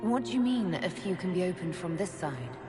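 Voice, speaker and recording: A woman's voice: on the low side, sing-song, fairly quick. A woman voicing a young elven mage leader in a video game cutscene, questioning and curious. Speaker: player character. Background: game soundtrack music.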